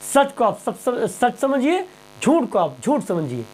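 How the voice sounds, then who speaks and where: A man's voice: extremely high pitch, sing-song, measured pace, darkish tone, a little hiss or hum. A man presenting impassioned news commentary straight to viewers, emphatic and rhetorical, repeating phrases and questions.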